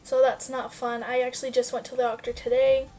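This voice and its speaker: A woman's voice: sits high, flat delivery, fairly quick, warm tone. A young woman vlogger with a stuffy nose from a sinus infection, chatting casually and rambling with many fillers. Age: young adult. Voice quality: nasal. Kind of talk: casual life-update chat.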